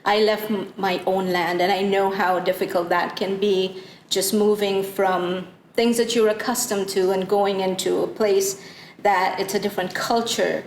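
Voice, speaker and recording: A woman from Guyana speaks very slowly as a church panelist, telling Bible stories in a halting, reflective manner. The room is echoey, with faint noise behind her. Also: mid-range voice, little pitch movement. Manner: halting, thoughtful storytelling.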